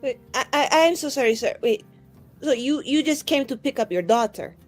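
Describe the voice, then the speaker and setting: A woman's voice: sits high, animated, brisk, soft background music, pitched above the performer's own voice. A woman playing an earnest, protective mage heroine in a tabletop game, talking bluntly and informally. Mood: flustered. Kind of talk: improvised tabletop roleplay.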